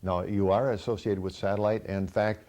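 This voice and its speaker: Low, mellow-voiced male host, friendly and easygoing. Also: level tone, moderate pace. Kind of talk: TV show hosting and interviewing.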